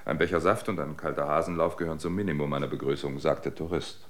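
An actor in a radio drama playing an observant reporter, measured and reflective as he questions and narrates. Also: a bass voice, steady tone. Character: observant male reporter narrating his travels.

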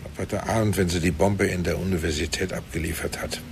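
An actor in a German radio drama playing a confident intelligence chief, authoritative and reassuring. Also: male voice, low-pitched, steady tone, brisk, creaky. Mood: assured command. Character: commanding, confident male intelligence team chief. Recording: faint background ambience noise.

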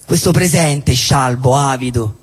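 Guy with a high raspy voice declaiming like theatre, emphatic lists, hall reverb, faint music behind. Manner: emphatic, delivered in measured lists. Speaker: stage performer at a live show. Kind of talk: theatrical spoken-word political declamation. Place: indoor cinema-theatre venue with long reverb.

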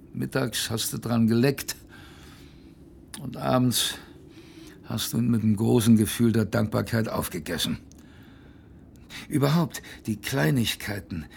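An actor playing a plain-spoken former polar sailor, narrating a radio play as a wry, reflective recollection with a gravelly, husky voice. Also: male voice, medium pitch, slight background noise. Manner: colloquial, hesitant, wry, reflective.

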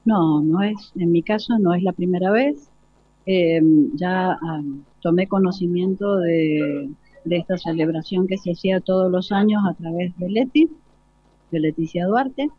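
A woman's voice: fairly low pitch, unhurried, no room sound. A woman, a university language specialist, giving unscripted interview answers. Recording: slightly muffled live radio sound.

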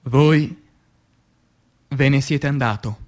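A man reciting poetry as a stage actor, in a dramatic, declamatory and solemn manner with long pauses. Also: mid-range voice, a little mellow. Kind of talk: dramatic theatrical poetry recitation for radio theatre.

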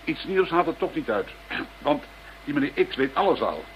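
An actor playing a pragmatic lead investigator in a radio play, calm and matter-of-fact with quiet authority. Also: male voice, fairly high pitch, moderate pace. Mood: composed. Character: pragmatic, level-headed male leader of investigators. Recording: dull radio play sound, faint noise.

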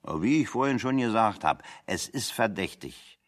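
An actor playing a wry, talkative man in a German radio play, speaking in a deliberate, ironic, anecdotal way. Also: on the low side, lively intonation, lightly acted. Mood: dry irony.

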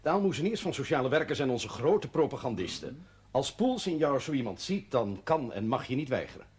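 An actor playing an authoritative senior parish priest, firm and dry in manner, in a television drama. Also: male voice, medium pitch, big pitch swings, a little fast, husky. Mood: stern, admonishing.

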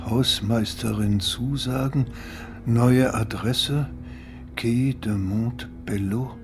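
An actor playing a sceptical police deputy in a crime radio play, asking short, clipped questions. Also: male voice, mid-range voice, fairly even intonation, slow. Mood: alert, questioning. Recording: music bed behind dialogue.

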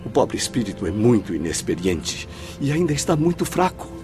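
Earnest, lively-toned subordinate played by a man. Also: medium pitch, moderate pace, faint music behind, close to an everyday voice. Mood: earnest concern. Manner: formal, deferential. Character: dutiful, earnest male spirit subordinate. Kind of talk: radio drama acting.

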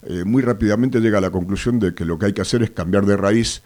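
A man from Argentina with an Argentine accent, a guest on a radio show, speaking with a cold in a measured, hesitant way, full of fillers. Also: on the low side, a little mellow. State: has a cold. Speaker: activist guest. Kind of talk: radio interview. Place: radio studio.

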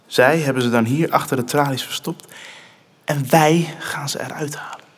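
A man's voice: a little high, steady tone, slightly rough. Earnest, obsessed young man. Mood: determined. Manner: intense, halting, resolute. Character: earnest, obsessed, determined teenage son. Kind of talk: radio drama dialogue. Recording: music under the voice.

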